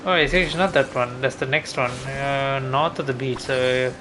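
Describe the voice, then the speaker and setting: A man giving casual, unhurried gameplay commentary, thinking aloud as he goes. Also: mid-range voice, steady tone. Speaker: game streamer. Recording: game music behind voice. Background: video game music.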